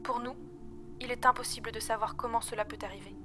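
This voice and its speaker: A woman's voice: a little high, steady tone, quick pace, music in the background, natural voice. A young woman playing an intervention team member narrating a grave, formal report in a science-fiction audio drama. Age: young adult. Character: sombre female intervention team member reading a mission report.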